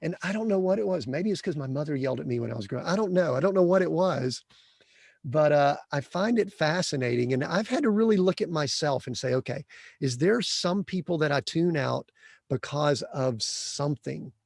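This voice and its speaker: Creaky-voiced man hosting a podcast. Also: a little high, animated, brisk, no echo, studio quality. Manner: earnest, rambling questions with many restarts. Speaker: podcast host. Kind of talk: conversational interview questions.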